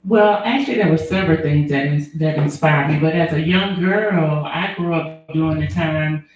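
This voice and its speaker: A woman attorney, answering interview questions in an earnest, measured way with frequent fillers. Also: low-pitched, a little mellow, dull audio. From Alabama, US. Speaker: attorney and former prosecutor. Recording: very echoey room.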